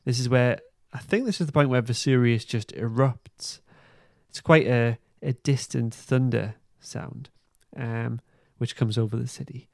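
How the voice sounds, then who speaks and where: A man hosting a podcast, giving a casual recap in an informal, halting way. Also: medium pitch, gravelly, a little mellow, dry recording, clean studio sound. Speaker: podcast host. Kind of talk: casual plot recap and review.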